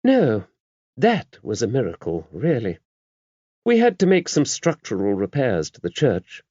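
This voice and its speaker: A man voicing an older abbess in an audiobook, a shrewd, gently wry woman who talks in a brisk, genteel, confiding way. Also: mid-range voice, huge pitch swings, no room sound, voice pushed up. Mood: chatty, shrewd. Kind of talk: audiobook character voice. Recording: dull, muffled lo-fi audio.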